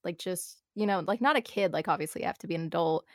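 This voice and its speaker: A woman's voice: on the low side, no echo, clean studio sound. A young woman in her early twenties, a streamer talking casually and earnestly with many fillers. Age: young adult. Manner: fast, filler-heavy, earnest talk. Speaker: guest streamer. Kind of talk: casual chat.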